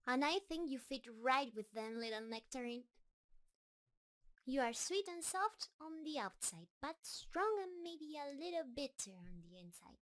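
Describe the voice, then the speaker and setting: Woman as lovestruck spider creature, high voice, slow pace, nervous, tender, doting, halting delivery, roleplay audio drama. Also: big pitch swings, a bit bright, heavily acted. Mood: tender, hesitant. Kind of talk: roleplay audio drama, intimate monologue to listener.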